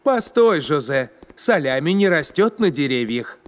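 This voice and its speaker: A man's voice: a little high, very animated, unhurried. A man voicing a friendly, patient adult helper in a children's cartoon, talking in an encouraging, explanatory way. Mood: patient, coaxing. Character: friendly helpful adult male cartoon narrator, patient companion. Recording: music behind the voice.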